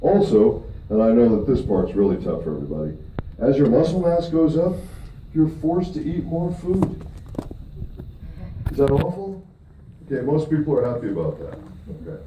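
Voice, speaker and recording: A man's voice: mid-range voice, sing-song, moderate pace, pauses often, warm tone. Middle-aged man lecturing from afar. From Michigan, USA. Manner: keeps checking in with the room with "okay?"; emphatic, with upward swings on key points. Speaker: presenter at a company event. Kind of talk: live seminar talk to an audience. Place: room where a talk is given to an audience. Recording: distant, echoey, dull audio with faint noise.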